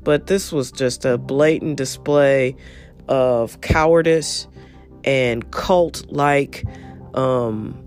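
A woman hosting her show alone, husky and a little nasal from congestion. She talks bluntly and with energy, and she swears casually. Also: extremely low pitch, very slow, a little mellow, faint music behind. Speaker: show host. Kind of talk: opinionated solo monologue.

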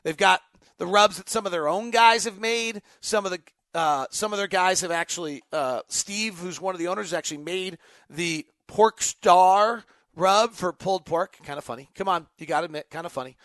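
Bright, high-pitched man talking sports. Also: moderate pace, no room sound. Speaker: sports show host and radio announcer. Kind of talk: live unscripted sports talk with host-read ad.

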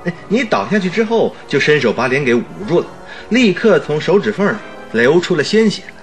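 An actor playing a detective's good-humoured, inquisitive companion in a Mandarin radio drama, chatty and bantering. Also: male voice, a little high, sing-song, brisk, faint music behind. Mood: amused.

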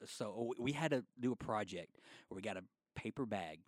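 A man's voice: on the low side, expressive, a little fast, dry recording, clean studio sound. A man in his twenties co-hosting a casual podcast chat over drinks, rambling through stories with lots of swearing and laughter. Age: 20s. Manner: rambling storyteller, swears a lot, laughs often. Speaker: podcast co-host.

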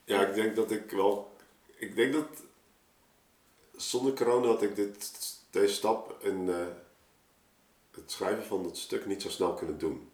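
Male podcast guest, somewhat deep, conversational. Roomy, slightly off-mic pickup. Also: unhurried, pauses often, darkish tone, distant mic. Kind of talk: casual podcast discussion. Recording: echoey room, off the mic.

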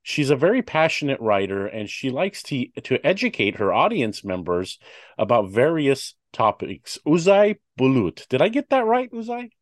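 A man's voice: mid-range voice, huge pitch swings, normal speed, no echo, studio quality. A man hosting a public affairs talk show, chatty with many fillers and restarts. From United States. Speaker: host.

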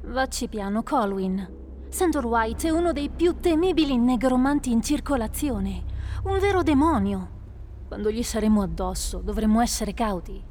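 A woman playing a sharp-witted witch adventurer in an amateur radio drama, with a wry, teasing manner. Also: on the high side, expressive, moderate pace, slight background noise, lightly acted. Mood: earnest.

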